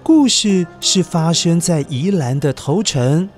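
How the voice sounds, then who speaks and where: A man's voice: fairly high pitch, animated, takes it slowly, a little mellow, faint music behind. A man with a Taiwanese Mandarin accent narrating a children's story expressively and dramatically, putting questions to his young listeners.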